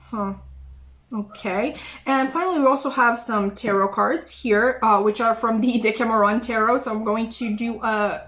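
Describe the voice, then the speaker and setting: A woman's voice: fairly high pitch, normal speed, fairly bright tone. A young woman giving a casual, rambling tarot reading to her viewers, with lots of fillers and giggles. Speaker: tarot reader.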